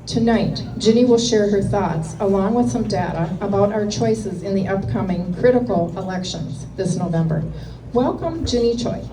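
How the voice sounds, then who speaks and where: A woman hosting a meeting, reading a measured, prepared introduction of the evening's speaker. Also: mid-range voice. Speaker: event host introducing the speaker. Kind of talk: formal introduction read to an audience. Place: meeting room with an audience. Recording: echoey room from a distance with clear noise.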